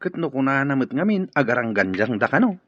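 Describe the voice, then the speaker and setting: A man's voice: medium pitch, big pitch swings, a little fast, a bit bright, dry recording. An actor in an Ilocano radio drama playing an amiable, easygoing man at the office. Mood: amused. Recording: dull lo-fi audio.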